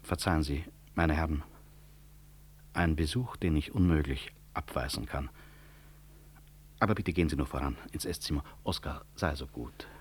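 Very deep-voiced, proud professor. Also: male voice. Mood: dry courtesy. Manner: formal, measured, ironic. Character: proud, wronged male physician professor, dryly courteous. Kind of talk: German 1960s radio drama acting. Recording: 1960s radio recording, faint noise.

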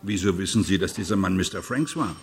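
An actor playing a blunt, insistent police detective in a radio play, asking terse, probing questions. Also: male voice, deep voice, fast talker. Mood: stern, probing.

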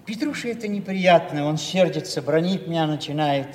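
An actor playing a timid, rambling old father in a theatrical audio play, halting and repeating himself. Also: fairly high pitch, a little mellow, close to an everyday voice. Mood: pleading, confiding. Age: older. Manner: hesitant, repetitive, flustered and halting. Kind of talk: theatrical stage acting of a Russian classic. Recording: echoey hall, distant mic, faint noise.

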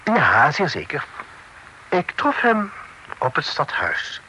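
An actor playing a talkative, worldly gentleman envoy in a period radio drama, speaking formally and floridly with French phrases sprinkled in. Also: fairly high pitch, wildly swooping pitch, slow, heavily acted. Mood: earnest narrating. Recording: noisy old radio play recording.

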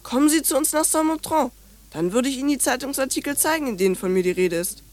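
A woman's voice: high voice, expressive, fast talker, a little mellow, no room sound. Radio play: brash young girl, precocious and scornful, bossy, slangy chatterbox. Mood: scornful, boastful. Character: cheeky, streetwise, precocious young French girl, bluntly scornful.

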